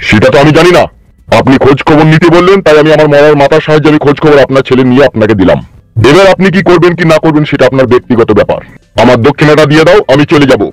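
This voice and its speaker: A man's voice: on the high side, racing, no echo, lightly acted, low-quality recording. A man voicing a pompous, brusque village tantric holy man in a comic cartoon, with a grandiose manner. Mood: boastful, brusque.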